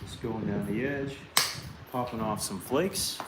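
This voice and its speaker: A man's voice: fairly low pitch, a little slow, echoey room, heavy background noise. A man hosting a casual live stream, chatting while he knaps stone and reading viewer comments aloud. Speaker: livestream host.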